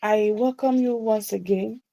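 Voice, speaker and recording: A woman's voice: medium pitch, steady tone, unhurried, gravelly, warm tone, no room sound, low-quality recording. A woman coaching a group through a motivational teaching session, speaking emphatically and repeating key phrases for stress. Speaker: visibility coach.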